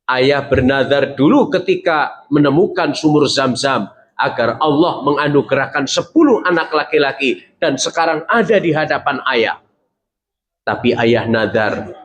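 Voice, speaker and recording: A middle-aged man, preaching a sermon as a story, acting out the voices he quotes and putting questions to the audience. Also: mid-range voice.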